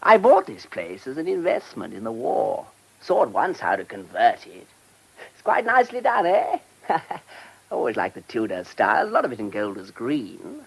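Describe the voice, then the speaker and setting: An actor playing a brash, self-satisfied, money-minded English country-house owner in a radio drama, with breezy, chummy upper-middle-class slang. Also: male voice, medium pitch, wildly swooping pitch, normal speed, vocal fry, slightly rough, full character voice, poor audio. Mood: boastful. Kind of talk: British radio drama acting.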